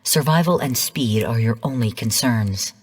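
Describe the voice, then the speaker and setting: An actress playing a female artificial intelligence mentor in a video game, delivering mission briefings in a calm, stern, measured and formal way. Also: very deep voice, husky, in a large hall, heavily acted. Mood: cool warning. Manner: measured, formal, cool, precise. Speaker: game guide and mission narrator.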